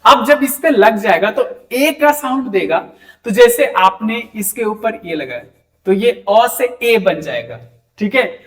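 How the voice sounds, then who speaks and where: A man's voice: sits high, lively intonation, slow, fairly bright tone. A man with an Indian accent giving a French lesson to students. He keeps asking them questions and has them repeat words back to him. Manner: talks to students directly and asks them to repeat after him. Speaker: French teacher. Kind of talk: classroom lecture.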